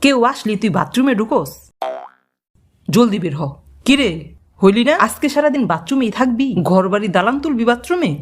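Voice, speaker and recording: Nagging cartoon mother, voiced by a woman, lively swooping pitch, scolding. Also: normal speed, pauses often. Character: scolding hot-tempered village mother, middle-aged. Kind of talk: cartoon voice acting.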